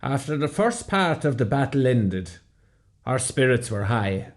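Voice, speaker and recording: A man as a proud, measured veteran narrator. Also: mid-range voice, big pitch swings. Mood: proud reflective. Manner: measured storytelling. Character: proud Irish rebel veteran recounting a battle. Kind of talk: scripted dramatic narration.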